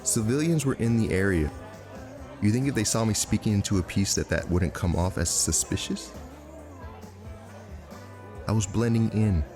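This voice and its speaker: A man's voice: lowish voice, moderate pace, pauses often, close to an everyday voice. A man playing a cocky, defiant operative in a naturalistic drama, brash and dismissive. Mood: defensive. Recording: music under dialogue.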